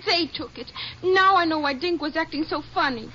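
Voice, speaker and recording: High-pitched, earnest little ranch girl. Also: normal speed, sharp tone. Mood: upset. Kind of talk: 1950s western radio drama acting. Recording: muffled, very noisy old radio recording.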